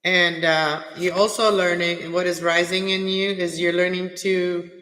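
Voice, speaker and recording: A man with a strained, raspy throat giving a chatty, reassuring casual tarot reading from home. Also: high-pitched, level tone, measured pace, bright, forceful tone. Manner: chatty, reassuring, many filler phrases. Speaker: tarot reader. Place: home, cold room. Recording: echoey room with long reverb.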